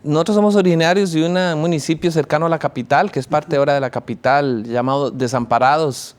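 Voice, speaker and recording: A man from Costa Rica, a theologian guest in an interview, speaking in measured answers with frequent hesitations. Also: fairly high pitch, steady tone. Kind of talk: interview answers, recounting his life.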